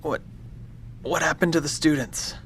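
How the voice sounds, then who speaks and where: An actor playing an injured, guilt-ridden man in an audio drama, weak, halting and remorseful. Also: a little high, fairly bright tone, a little hiss or hum, lightly acted. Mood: dazed, anxious.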